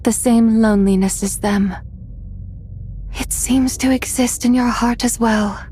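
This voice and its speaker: A woman performs a mysterious, gentle young woman from a video game. The delivery is slow, wistful and halting, as if half dreaming. Faint music plays underneath. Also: a little high, steady tone, natural voice. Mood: wistful, hesitant. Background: soft game music.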